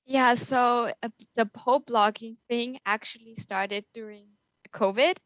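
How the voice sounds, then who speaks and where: Young austrian-born woman on a video call, slightly high voice, upbeat with lots of ums, dull dry audio. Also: steady tone, measured pace, fairly bright tone, dry recording. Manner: fluent, upbeat, many fillers like "um" and "like". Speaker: guest. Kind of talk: casual interview.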